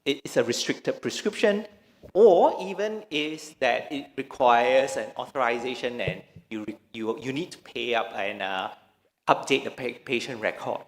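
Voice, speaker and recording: A man from Malaysia gives a talk on stage at a medical conference. His voice sits at a middle pitch and trails with a long echo through a large hall. He speaks haltingly, often restarting his sentences. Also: expressive. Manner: hesitant, many restarts and fillers. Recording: reverberant lecture hall.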